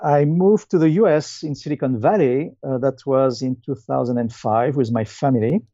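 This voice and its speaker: A man in his fifties with a French accent answers interview questions conversationally, in a hesitant, halting way with many pauses. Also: medium pitch, warm tone, highs cut off. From France. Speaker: guest, company founder and CEO.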